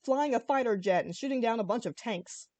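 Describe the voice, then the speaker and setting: A woman's voice: a little high, expressive, a little fast, darkish tone, no echo, close to an everyday voice. A woman voicing a brash, sarcastic young male dragon hero in a fan fiction reading. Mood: exasperated. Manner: sarcastic, exclamatory.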